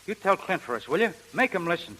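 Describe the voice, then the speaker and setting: An actor playing an earnest, pleading young prizefighter in informal speech, in a 1930s radio drama. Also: male voice, high-pitched, big pitch swings, quick pace, pushed and bright, heavily acted. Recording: hissy, noisy 1930s radio recording.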